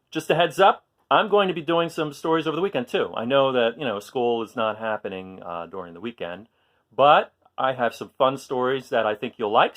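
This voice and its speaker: A man reading a story aloud to young children, expressively, pausing to ask questions and explain things simply. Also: mid-range voice, fairly bright tone.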